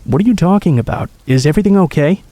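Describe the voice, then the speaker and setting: A man's voice: fairly high pitch, expressive, quick pace, mellow, voice pushed up, lightly acted. A man voicing an annoyed older brother on a phone call, clipped and protective. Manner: irritated, then alarmed and clipped.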